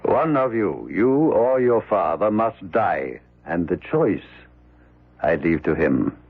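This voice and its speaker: An actor playing Death incarnate in a radio drama, a solemn, merciless spectre with gravelly, formal speech. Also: male voice, low voice, lively intonation, no room sound, full character voice. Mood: solemn, menacing. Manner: slow, solemn, formal, commanding. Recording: muffled, narrow-band old radio recording.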